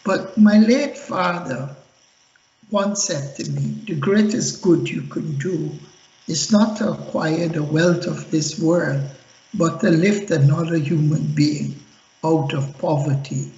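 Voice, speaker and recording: A man's voice: on the high side, slow, a little mellow. A man from Guyana, a guest on a radio panel, giving an earnest, speechlike account of his background. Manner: earnest, speechmaking self-introduction. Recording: echoey, dull lo-fi line.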